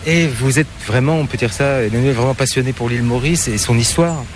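Fast-talking male interviewer, noisy surroundings. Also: mid-range voice. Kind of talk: casual interview.

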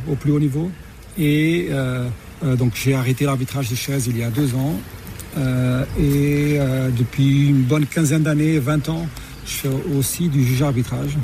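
Middle-aged man from Portugal, tennis referee interviewee, halting delivery full of fillers, dark mellow tone, faint background noise. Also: medium pitch. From Portugal, born in Mozambique, raised in Lisbon. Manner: hesitant, many fillers and restarts. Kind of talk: radio interview, recounting career.

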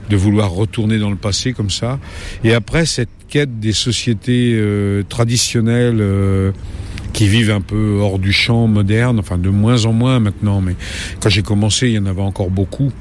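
A man in his late sixties, a travel reporter, answering interview questions in a relaxed way, pausing often with fillers and restarting his sentences. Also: lowish voice, a little hiss or hum. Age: 60s. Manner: hesitant, many fillers and restarts.

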